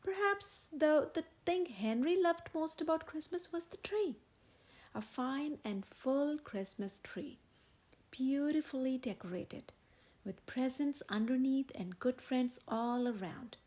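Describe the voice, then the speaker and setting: A woman reading a children's picture book aloud as a gentle, expressive storyteller. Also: high-pitched, takes it slowly.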